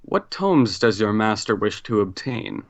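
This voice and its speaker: A man's voice: lowish voice, big pitch swings, measured pace. A man playing in a tabletop game, talking and asking questions casually.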